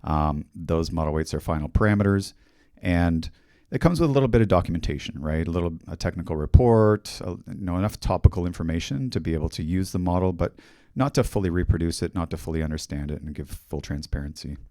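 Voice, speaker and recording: A middle-aged man with a Canadian accent giving a technical conference talk in a fairly monotone, steady voice, speaking into a handheld mic on a hall stage. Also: low-pitched, quick pace, few pauses, clean studio sound. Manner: monotone, steady explanatory delivery. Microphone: handheld microphone.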